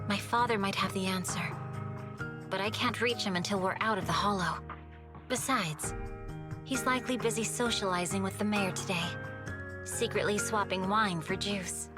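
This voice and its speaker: A woman's voice: mid-range voice, close to an everyday voice. An actress playing a stoic, proud young noblewoman who wields a sword in a video game, speaking in a formal, composed, terse manner. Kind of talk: dramatic video game voice acting. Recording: music behind the dialogue.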